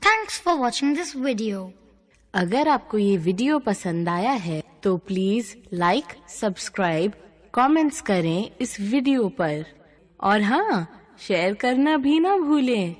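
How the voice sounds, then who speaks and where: A woman's voice: medium pitch, sing-song, slow. A woman giving a scripted channel outro announcement to viewers. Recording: long echoey reverb.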